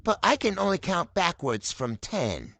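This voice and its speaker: A woman's voice: on the low side, big pitch swings, a little slow, fairly bright tone, pitched below the performer's own voice, heavily acted. A woman voicing a scared, earnest young boy turtle who speaks hesitantly and with a wobble in a storybook. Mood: sleepy, counting.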